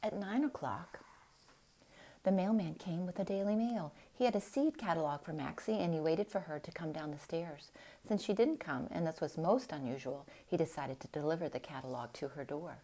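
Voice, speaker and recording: A woman reading a storybook aloud in an expressive style, with deliberate, dramatic phrasing. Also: deep voice. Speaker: storybook reader.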